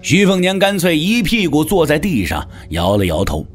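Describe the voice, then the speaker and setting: A man's voice: fairly high pitch, wildly swooping pitch, moderate pace, soft background music. A man giving a dramatic scripted narration of a martial arts story.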